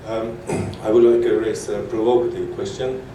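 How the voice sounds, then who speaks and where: A man from Norway asking a question from the floor at a panel, halting and repeating his words. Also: lowish voice, fairly even intonation, warm tone, a little hiss or hum. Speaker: audience member asking a question. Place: large echoey conference hall. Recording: echoey hall audio from far off.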